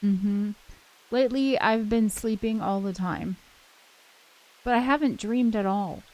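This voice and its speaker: A woman's voice: mid-range voice, measured pace, darkish tone, low-quality recording. A woman hosting a let's play, switching between casual chat and reading a visual novel's text aloud. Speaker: host of a gaming channel. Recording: music playing behind the voice. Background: game soundtrack music.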